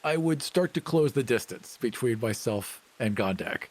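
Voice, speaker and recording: A man's voice: medium pitch, lively intonation, normal speed, darkish tone. A man playing a tabletop game, casually describing what his character does. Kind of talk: casual narration of character actions.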